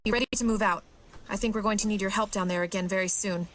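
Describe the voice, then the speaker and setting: An actress playing a cool, composed, matter-of-fact military officer in a sci-fi game. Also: female voice, mid-range voice, fairly even intonation, quick pace, a bit bright, a little hiss or hum, natural voice. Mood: businesslike. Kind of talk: cinematic sci-fi game dialogue. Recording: voice over music and engine sounds.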